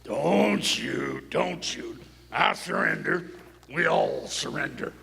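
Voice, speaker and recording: Man acting raspy, high-pitched, desperate cowboy. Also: expressive, slow, bright, forceful tone, full character voice. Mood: pleading. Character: excitable old-West rancher and outlaw, desperate cowboy. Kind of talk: live Western radio play acting. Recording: echoey hall, live stage performance.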